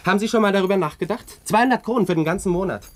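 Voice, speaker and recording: An actor in a radio play voicing a proud, earnest young cavalry lieutenant, polite and emphatic. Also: male voice, high-pitched, rapid-fire, no echo, lightly acted. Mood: exasperated, ironic.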